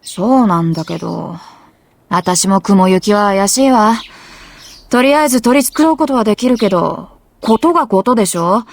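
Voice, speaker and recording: A woman playing a bold, competitive, tomboyish high school girl in an anime visual novel, with a brash, casual, confident manner. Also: lively intonation, fast.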